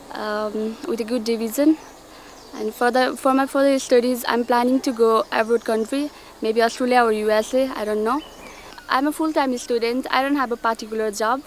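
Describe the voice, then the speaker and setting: A woman in her twenties, a student, introducing herself in a relaxed, chatty documentary interview outdoors. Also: fairly high pitch, a little hiss or hum, poor audio. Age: 20s. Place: outdoors by a hillside.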